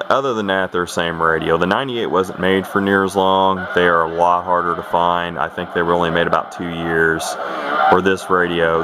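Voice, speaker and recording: Low-pitched man over heavy noise. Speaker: radio hobbyist demonstrating equipment. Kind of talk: casual unscripted product walkthrough. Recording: heavy radio static and background noise.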